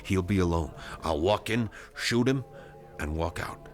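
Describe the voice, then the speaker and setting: An actor playing a vengeful male war veteran in a 1970s radio drama, calmly and persuasively planning a murder. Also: low voice, a little slow, over music. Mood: scheming coldly. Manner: cold, persuasive, matter-of-fact about killing.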